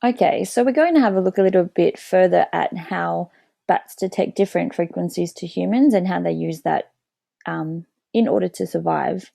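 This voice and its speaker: A woman's voice: fairly low pitch, normal speed, vocal fry, warm tone, dry recording. A woman biology teacher narrating an educational video, explaining plainly.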